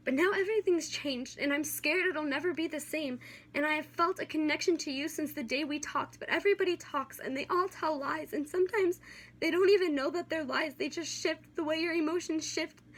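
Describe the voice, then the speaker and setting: A woman's voice: sits high, fairly bright tone. A young woman performing a slam poem in an earnest, rhythmic, dramatic way, as an amateur poet. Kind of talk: slam poetry recitation. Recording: amateur recording with light background noise.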